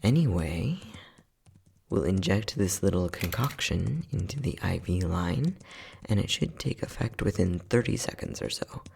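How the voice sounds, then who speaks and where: A man acting as an unhinged, playful and casually cruel scientist in an ASMR roleplay, flippant and sardonic, with a husky voice that often drops near a whisper. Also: low voice, expressive, a little slow, darkish tone, slight background noise, heavily acted. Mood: clinical, teasing. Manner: flippant, sardonic, mock-soothing, muttering.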